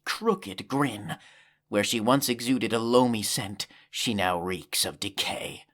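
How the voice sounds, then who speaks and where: A man's voice: mid-range voice, a bit bright. A man playing the solemn, dramatic narrator of a scripted science-fiction audio drama. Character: dramatic, solemn storyteller of a space saga.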